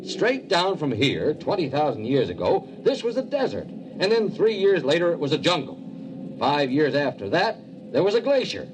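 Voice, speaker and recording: Baffled geologist, informal, emphatic, wildly animated intonation, clear background noise, vintage radio drama. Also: male voice, a little high, normal speed. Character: keen male field geologist, baffled and informal. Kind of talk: 1950s radio drama acting. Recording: loud vehicle-like noise behind old radio recording.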